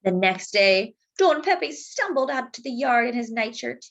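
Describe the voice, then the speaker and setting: A woman reads a children's picture book aloud as a storytime host. Her voice is somewhat high and very lively in pitch, with dramatic, expressive delivery aimed at young listeners. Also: measured pace, gravelly, muffled recording.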